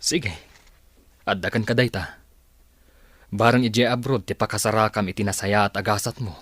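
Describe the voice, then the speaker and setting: A man playing a concerned father talking to his daughter in a Filipino radio drama, measured and paternal. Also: on the low side, fairly even intonation, fairly bright tone, no echo, studio quality.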